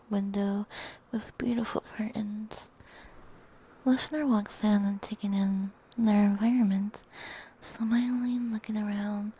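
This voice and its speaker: A woman gently narrating a scripted ASMR story, breathy and often whispered, close to a binaural microphone. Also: mid-range voice, poor audio. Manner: gentle, soft-spoken. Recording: faint background noise.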